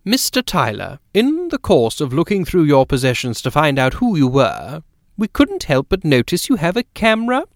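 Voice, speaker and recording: A man's voice: fairly high pitch, huge pitch swings, moderate pace, hardly any pauses, no echo, clean studio sound, voice pushed up. A man voicing a genteel, chatty, inquisitive lady in an audiobook, with effusive and polite manners. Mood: eager. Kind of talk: audiobook character dialogue.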